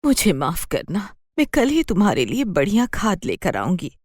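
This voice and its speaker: A woman playing a hard-working village mother, scolding yet soft-hearted, in a children's cartoon story. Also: medium pitch, huge pitch swings, moderate pace, no echo, clean studio sound. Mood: grateful, apologetic.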